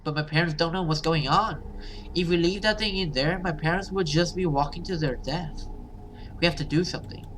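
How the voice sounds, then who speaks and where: A man voicing a frightened, pleading young woman in a horror visual novel, excitable and desperate. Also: on the high side, fairly quick, a little hiss or hum, pitched above the performer's own voice, close to an everyday voice, poor audio. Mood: panicked.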